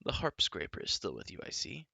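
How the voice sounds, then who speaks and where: A man voicing a proud, bitter young prince who speaks low and hoarse with cold contempt. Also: huge pitch swings, a little fast, pushed and bright, dry recording, exaggerated voice. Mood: contemptuous.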